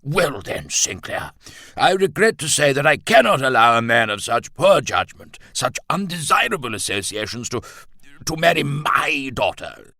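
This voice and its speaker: Casting: pompous retired colonel played by a man, older, blustering, formal and indignant, forceful delivery for audiobook fiction. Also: medium pitch, big pitch swings, normal speed, no room sound, clean studio sound, pitched above the performer's own voice, full character voice. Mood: stern, reproachful.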